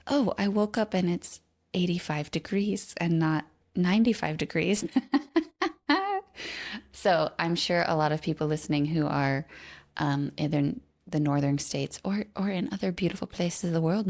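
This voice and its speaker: Slow, soft-spoken woman host. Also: on the low side. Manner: slow and gentle, with soft laughs and fillers. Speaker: podcast co-host. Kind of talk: casual chat.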